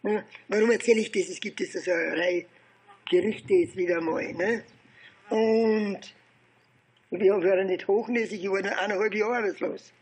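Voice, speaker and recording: An elderly Austrian man recounts his past as if for an oral history interview. His voice is husky and worn rather than clear. He speaks haltingly, circling back and repeating phrases as he goes. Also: high voice, fairly even intonation. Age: 70s or older. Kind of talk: informal oral history storytelling.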